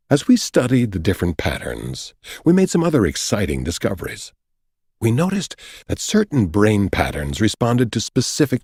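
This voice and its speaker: A man narrating a nonfiction audiobook from a script in a measured, deliberate, explanatory way, with a husky voice. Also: on the low side, a little mellow, no echo, studio quality. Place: dry recording studio.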